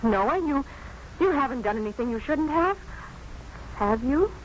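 An actress playing a devoted, emotional but resolute doctor's wife in a 1950s radio drama, earnest and theatrical. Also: female voice, high-pitched, expressive, a little slow. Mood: fragile, emotional. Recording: muffled, noisy 1950s radio recording.

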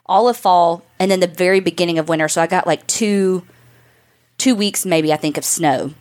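A woman's voice: on the low side, normal speed, a little mellow. Wry Southern US woman, casual chat. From Southern United States. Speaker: podcast co-host.

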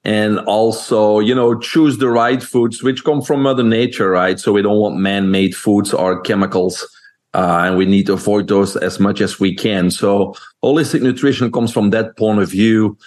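A man's voice: fairly low pitch, fairly even intonation, moderate pace, darkish tone. A man from Belgium with a Belgian accent, a guest on a podcast interview, talking earnestly and fluently with frequent uh fillers.

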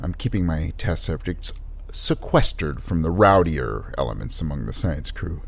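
Fussy kind lab scientist in audio drama, husky, halting, voice swings up and down. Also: male voice, extremely low pitch, animated, exaggerated voice. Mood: awkward, guarded. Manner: halting, hesitant, mild-mannered and precise. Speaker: scientist and doctor.

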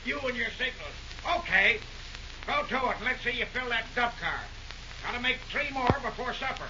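A noisy vintage radio serial with a teasing railroad workman. He has an extremely high, hard-edged voice and an American accent. He bosses his partner with slangy, bantering bluster. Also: expressive, normal speed, pushed and bright, distant mic, heavily acted. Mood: amused. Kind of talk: old-time radio serial acting. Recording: dull, noisy old radio recording.

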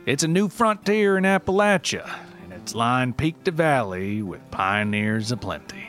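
A man's voice: medium pitch, animated, normal speed, a bit bright, soft background music. A man reading scripted mock-documentary narration in a theatrical, mock-serious manner.

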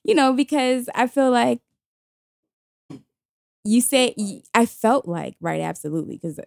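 A woman's voice: on the high side, lively intonation, a little slow, gravelly, a little mellow, no echo, studio quality. A woman, telling a personal story bluntly and emphatically in slangy casual chat. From Queens, New York.

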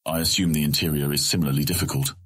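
An actor playing a stern, impatient fantasy guildmaster in an audiobook drama, curt and commanding. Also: male voice, rumbling low, steady tone, very fast, fairly bright tone, slightly rough, heavily acted. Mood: demanding, scheming.

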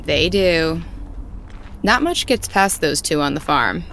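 An actress playing a calm, self-assured young woman raised on a family farm. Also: low-pitched, slow, slight background noise, heavily acted. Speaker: farmer's daughter. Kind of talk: audio drama acting. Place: outdoors on a farm at night.

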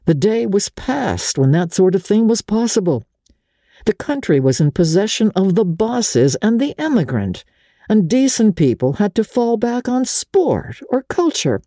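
A woman's voice: medium pitch, big pitch swings, a little slow, dark tone, dry recording, clean studio sound, pitched above the performer's own voice. A woman voicing a bitter, impassioned male intellectual in a scornful, exhorting dramatic reading of a classic novel.

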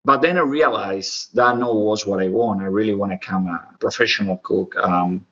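Argentine guy in his 40s, spanish accent, lowish forceful voice, chatting in loose non-native english, bit lo-fi. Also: normal speed. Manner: expressive, informal, non-native English grammar. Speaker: professional chef, interview guest. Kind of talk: conversational interview storytelling.

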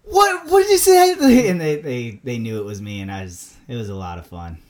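A man with a Minnesota accent, co-hosting a podcast in a relaxed chat, laughing often and repeating words as he starts sentences. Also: mid-range voice, normal speed. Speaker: podcast co-host. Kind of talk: casual chat.

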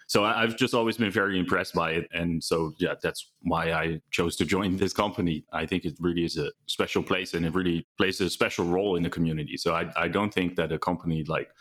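A man with a Dutch accent, a research lead talking casually as a podcast guest, relaxed and thoughtful with small restarts. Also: low-pitched. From Netherlands.

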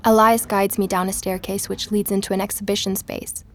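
A woman's voice: mid-range voice, level tone, fairly quick, slight background noise, natural voice. Actress as inquisitive, awestruck young woman. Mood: reflective narration. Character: Emma, inquisitive, awestruck young woman researcher narrating her travels. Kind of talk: audio drama narration and natural dialogue.

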